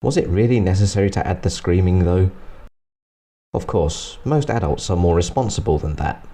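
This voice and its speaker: A man's voice: low-pitched, animated, moderate pace, warm tone. A man narrating a scripted comedic review in a dry, sarcastic manner. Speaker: presenter of a film review series.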